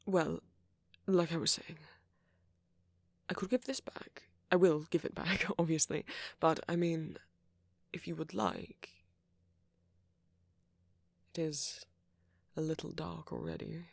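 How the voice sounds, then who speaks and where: A man playing a friendly, flirty vampire in an intimate ASMR roleplay, courteous and gently teasing, with a husky, murmuring voice close to the microphone. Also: on the high side, fairly even intonation, slow, pauses often, no room sound, full character voice. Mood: friendly, tentative. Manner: gently teasing, polite old-fashioned phrasing, soft chuckles. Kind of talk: ASMR roleplay, intimate one-sided dialogue to the listener.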